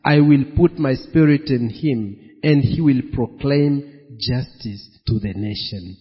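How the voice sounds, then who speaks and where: A pastor preaching a sermon, slow and emphatic, repeating his key phrases. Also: mid-range voice, slightly rough. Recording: dull, echoey hall.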